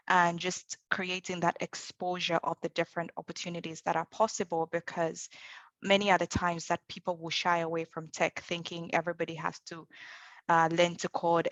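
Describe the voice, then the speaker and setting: A woman in her thirties from Zambia, speaking on a panel in a relaxed, thoughtful way with many fillers. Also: lowish voice, no echo. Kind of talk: conversational talk.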